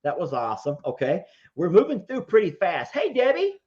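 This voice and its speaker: Casual, folksy host for live caller chat, animated intonation, slightly muffled lo-fi audio. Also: medium pitch, fairly quick, a crowd in the background. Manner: folksy, informal. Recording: dull, lo-fi sound.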